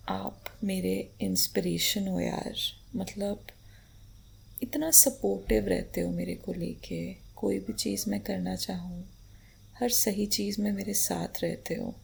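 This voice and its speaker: A woman playing an affectionate, playful, devoted wife in an intimate romantic ASMR roleplay, speaking tenderly in a breathy half-whisper with light teasing. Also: medium pitch, steady tone, slow pace, darkish tone, full character voice. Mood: admiring. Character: affectionate, playful, devoted Indian wife adoring her husband. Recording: faint background noise.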